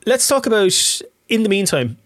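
A man's voice: sits high, big pitch swings, normal speed, fairly bright tone, no echo. A man hosting a casual interview, a bit chesty from a tickle in his throat, chatty and tripping over repeated words. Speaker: radio interview host.

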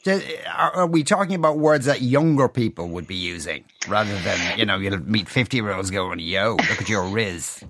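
A man's voice: fairly low pitch, normal speed, hardly any pauses, fairly bright tone. A man hosting a radio interview, asking stumbling, casual questions and laughing easily. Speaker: radio host.

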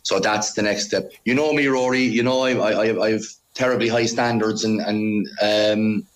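A man's voice: fairly low pitch, fairly even intonation, normal speed. A man with a Cork accent, a football coach chatting on a remote call and telling stories with a laugh. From Ireland. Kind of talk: casual interview chat.

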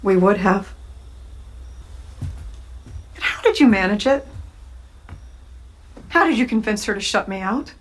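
A woman's voice: mid-range voice, animated, unhurried, long pauses, slight background noise, lightly acted. An actress playing an Irish woman bitterly confronting an old wrong, in a film clip. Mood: hurt, accusing. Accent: Irish (put on by actor).

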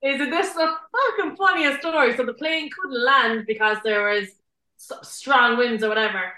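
Bright, high-voiced woman in a roomy space. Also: moderate pace. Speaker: podcast co-host. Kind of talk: casual chat.

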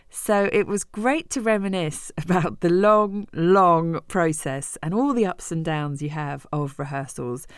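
A woman's voice: low-pitched, clear, resonant tone, dry recording, clean studio sound. A woman presenting a personal review of books she has read. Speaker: radio presenter recommending books.

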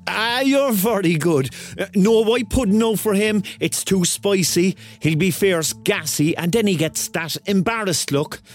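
An actor playing a rambling, excitable thirty-year-old man with a Cork accent, telling his story colloquially in a radio drama. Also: sits high, measured pace, soft background music. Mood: chatty. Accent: Cork Irish. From Cork, Ireland. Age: 30s. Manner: colloquial, digressive, conversational. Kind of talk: first-person radio drama narration and dialogue.

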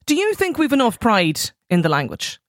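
A woman's voice: a little high, huge pitch swings, normal speed, no echo, clean studio sound. A woman with an Irish accent hosting a radio phone-in, chatty and probing with friendly questions. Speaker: radio show host.

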